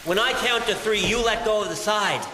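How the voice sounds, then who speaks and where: A man's voice: sits high, normal speed, sharp tone. An actor playing an urgent, kindly man coming to the rescue in an audio drama, speaking with reassurance. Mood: urgent, reassuring. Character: urgent, kindly male passer-by rescuing a boy. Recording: echoey reverb with clear hiss.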